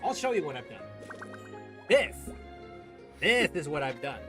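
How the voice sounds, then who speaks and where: Animated high-voiced man, halting gamer chat. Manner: halting, repeats phrases for emphasis. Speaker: gaming streamer. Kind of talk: casual, rambling gameplay chat. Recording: game music behind.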